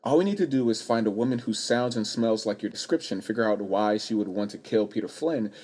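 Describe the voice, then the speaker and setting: A man's voice: fairly low pitch, expressive, fairly quick, darkish tone. A man in a radio play, playing an earnest and devoted young amateur sleuth. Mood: earnest, urgent.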